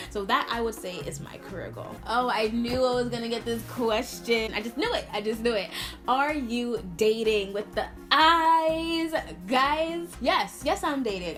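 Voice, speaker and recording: A woman from Brooklyn, chatting casually and expressively to camera as a video blogger, stressing points by repeating words. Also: mid-range voice. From Brooklyn, New York, United States. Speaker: video blogger answering viewer questions. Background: music.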